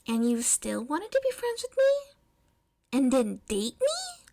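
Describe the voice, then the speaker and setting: A woman plays an earnest, curious teenage girl from an audiobook. The voice sits high and lifts into direct, blunt questions, eager and open. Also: wildly swooping pitch, slow pace, warm tone, exaggerated voice. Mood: surprised, incredulous. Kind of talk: audiobook character dialogue.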